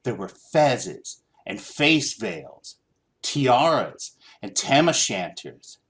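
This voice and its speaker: A man narrates a children's picture book with theatrical expression. His pitch swoops widely, and he takes his time with deliberate, slow phrasing. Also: very animated, a bit bright. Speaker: storybook reader.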